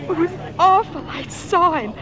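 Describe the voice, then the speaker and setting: Very high-pitched, girlish young daughter. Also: lively intonation, measured pace, crowd noise. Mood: distraught. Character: earnest, impressionable young daughter of a senator. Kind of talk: 1950s radio drama acting. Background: music and car driving sounds.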